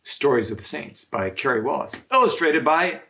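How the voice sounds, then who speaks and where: A man reading a story aloud to children as a religious brother, in measured phrases with frequent pauses. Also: on the high side, animated, gravelly, sharp tone.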